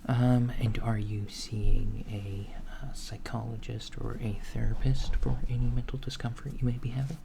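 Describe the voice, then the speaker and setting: A man playing a calm alien doctor disguised as a human, speaking softly and hesitantly in a gentle, clinical ASMR roleplay. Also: mid-range voice, moderate pace, hardly any pauses, close to an everyday voice. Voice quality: soft, breathy, near whisper. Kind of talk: soft-spoken ASMR roleplay. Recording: lo-fi with clear background noise.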